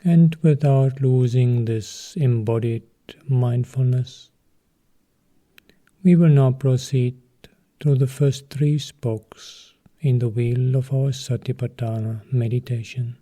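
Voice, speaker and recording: A man leading a guided meditation as a teacher, speaking calmly and in short, measured phrases with long pauses. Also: mid-range voice.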